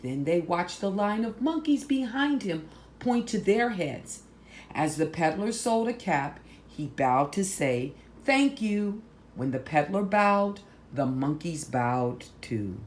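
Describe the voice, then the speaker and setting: A woman reads a children's story at a very unhurried pace, in a slightly low voice. She phrases deliberately and leans on key words for drama. There is noticeable background noise on the recording. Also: expressive. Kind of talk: slow, expressive picture-book read-aloud.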